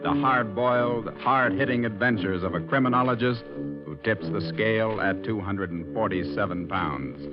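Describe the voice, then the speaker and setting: A man's voice: lowish voice, normal speed, natural voice. A man announcing a 1940s radio drama in a formal, emphatic style. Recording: lo-fi vintage broadcast with music.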